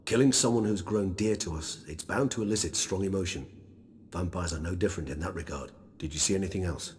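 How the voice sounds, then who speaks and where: An actor playing an erudite, courteous vampire scholar in a video game, speaking measured, formal English. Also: male voice, sits low, darkish tone, natural voice. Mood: inquisitive, thoughtful. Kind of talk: video game cutscene acting. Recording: long reverb, faint background noise.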